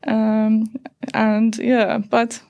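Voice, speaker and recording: A woman in her thirties from Iran, a native Persian speaker, talking in a hesitant, halting way with many fillers as an interview guest. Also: on the high side, completely flat delivery, creaky, warm tone, dry recording, studio quality. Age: 30s. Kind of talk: casual interview conversation.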